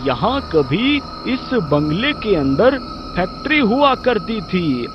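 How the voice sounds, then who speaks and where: A man's voice: sits high, very animated, slow pace, lo-fi sound, background noise, pitched above the performer's own voice, full character voice. A man voicing an urgent, commanding old holy man in a ghost storybook tale. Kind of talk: storybook character voice.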